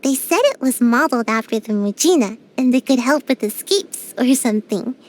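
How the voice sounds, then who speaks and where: A woman's voice: fairly high pitch, lively intonation, moderate pace, lightly acted. A woman voicing a tiny, sleepy young ninja girl in a game, drowsy and a little whiny, shy but grumpy. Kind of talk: game character voice lines.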